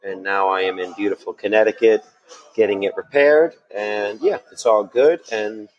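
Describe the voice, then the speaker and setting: A friendly New man with a fairly low voice. He answers interview questions in a relaxed way. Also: moderate pace, lo-fi sound. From New Jersey. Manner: relaxed, friendly, laughs along. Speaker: musician guest.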